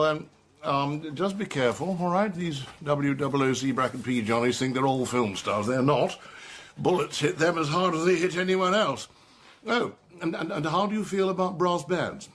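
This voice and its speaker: Casting: fussy, disapproving British intelligence boss, animated clipped delivery, radio drama, faint noise behind. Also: male voice, a little high, a little slow. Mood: gruffly concerned. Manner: blustering, hesitant, clipped military speech.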